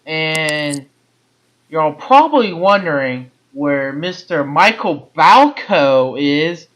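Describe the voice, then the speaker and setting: This is a man hosting a laid-back sports podcast. His voice sits high and sounds bright and forward. He talks loosely, doubling back and repeating words. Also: lively intonation, slow. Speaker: podcast host. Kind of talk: casual sports talk.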